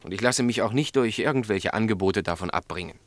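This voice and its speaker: An actor playing a stubborn, upright snack-bar owner in a German radio drama, speaking in a firm, curt, defensive way. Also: male voice, fairly low pitch, fast talker, no room sound, lightly acted.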